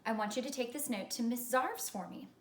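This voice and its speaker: A woman voicing a brisk, firm, easily exasperated female schoolteacher in a storybook reading. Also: on the high side, close to an everyday voice. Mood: instructing. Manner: firm, instructing. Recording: echoey room.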